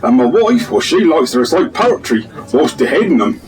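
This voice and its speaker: Man playing a folksy English village bobby, lively pitch. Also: moderate pace, distant mic, poor audio. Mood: proud. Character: proud, ingratiating village policeman and flower grower. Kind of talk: comic radio drama acting.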